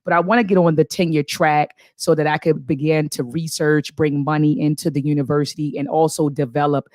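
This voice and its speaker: A woman in her forties from Baltimore, a professor, telling her story as an interview guest in earnest, lively speech with many fillers. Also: low voice, fairly quick, no room sound, studio quality. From Baltimore, USA. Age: 40s.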